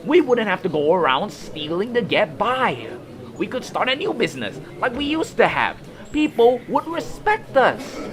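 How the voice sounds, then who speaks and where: A man's voice: sits high, big pitch swings, normal speed, crowd noise. A man playing a resentful, whiny fantasy creature in an audio drama, griping and blustering as a would-be mutineer. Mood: indignant.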